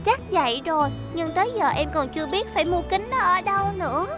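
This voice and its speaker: A very high, childlike voice for an eager little girl car in a cartoon. She is polite and expressive, quick to worry, with music playing underneath. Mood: worried. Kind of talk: animated children's cartoon dub.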